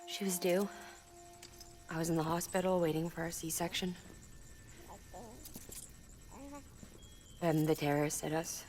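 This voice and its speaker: A weary, candid woman engineer from a cinematic game played by an actress. Her voice sits on the low side and she talks in a measured, matter-of-fact way with a haunted undertone. A score plays clearly behind her. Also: flat delivery, darkish tone, natural voice. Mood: somber, haunted. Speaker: engineer character in a game cutscene. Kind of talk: naturalistic cinematic video game acting. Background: game score music.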